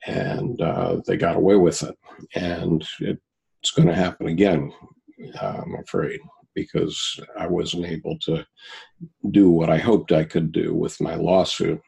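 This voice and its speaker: A man in his seventies with a very low, gravelly voice who speaks slowly. He talks haltingly and casually, restarting sentences and filling gaps as he recalls things. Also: fairly even intonation, a little mellow, rough recording. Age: 70s. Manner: halting, with many fillers and restarts. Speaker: guest. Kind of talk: casual conversation, recounting personal experiences.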